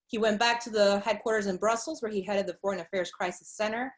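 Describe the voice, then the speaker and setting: A woman's voice: mid-range voice, steady tone, fast talker. A woman from Venezuela moderating a webinar, introducing a speaker in a courteous, formal way.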